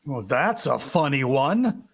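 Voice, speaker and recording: Animated, offhand husband played by a woman. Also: very deep voice, slow, slightly rough, heavily acted. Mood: dismissive. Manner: offhand, dismissive. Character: dismissive, easygoing husband in a radio play. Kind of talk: vintage radio drama acting.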